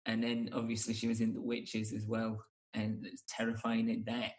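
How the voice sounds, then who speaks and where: A man's voice: on the low side, monotone, moderate pace. A man, hosting a podcast and chatting casually about films. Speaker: podcast host. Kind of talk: casual film discussion.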